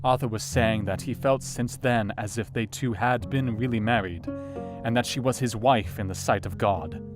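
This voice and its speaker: A man's voice: mid-range voice, moderate pace, music in the background, close to an everyday voice. An actor playing a sober, compassionate Victorian doctor, narrating his diary reflectively in an audio drama. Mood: troubled, grieving.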